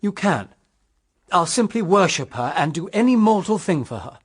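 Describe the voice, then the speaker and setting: Ardent, well-bred gentleman suitor, period radio drama. High-pitched male voice, animated, frank and earnest. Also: normal speed, breathy edge, full character voice. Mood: ardent, grateful. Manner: forthright, earnest, old-fashioned slangy.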